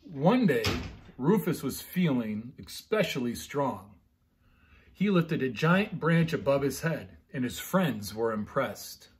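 A man's voice: medium pitch, very animated, takes it slowly, slight background noise. A middle-aged American man, a pastor chatting casually and reading children's bedtime stories expressively. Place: living room at home, indoors.

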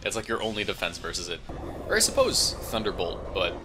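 A man's voice: low-pitched, sing-song, brisk, background noise. A slightly congested man giving casual, informal gameplay commentary, thinking aloud as he goes. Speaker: gaming video host.

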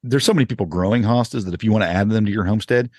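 A man's voice: fairly low pitch, very fast, darkish tone, no room sound, studio quality. A man co-hosting a homesteading podcast, chatting casually and rambling with lots of restarts. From Indiana. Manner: rambling, repeats himself, many restarts. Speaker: podcast co-host. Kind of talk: casual gardening chat.

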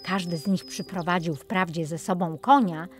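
A woman's voice: fairly low pitch, normal speed, soft background music. A woman narrating a children's fairy tale as an audiobook storyteller, reading from a script.

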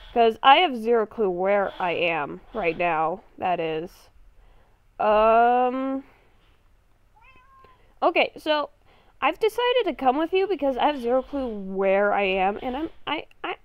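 A woman improvising in-character commentary for a game roleplay, speaking hesitantly and haltingly, with sarcastic asides. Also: high-pitched, no echo, lo-fi sound. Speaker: gamer playing a roleplay series.

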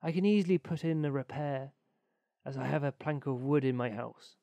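A man's voice: mid-range voice, moderate pace, gravelly, mellow, no room sound, close to an everyday voice. A man voicing a good-natured, trusting little fellow in a storybook, meek and earnest in his manner. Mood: grateful.

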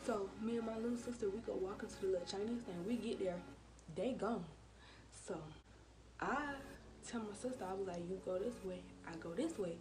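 A young American woman telling a casual story to camera, acting out what people said in an animated way. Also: mid-range voice, moderate pace. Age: young adult. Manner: animated retelling with acted-out quotes. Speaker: vlogger. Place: small bedroom, indoors. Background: hip hop music.

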